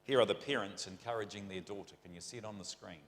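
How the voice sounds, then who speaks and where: A man's voice: lowish voice, fast talker, roomy sound, in a large hall. A middle-aged man with a New Zealand accent preaching a conversational, rambling sermon full of rhetorical questions. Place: church.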